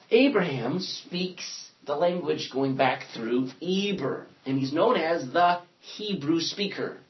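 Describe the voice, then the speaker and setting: A man's voice: mid-range voice, dull audio. A man teaching a Bible class in a classroom, joking and thinking aloud as he lectures.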